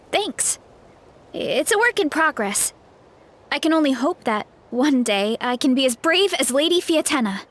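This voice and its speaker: Young game heroine, bubbly yet shy and unsure of herself, bright high voice. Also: female voice, big pitch swings, a little slow, heavily acted. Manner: bubbly, shy, self-doubting. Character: earnest young woman who idolizes a masked hero. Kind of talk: video game voice acting.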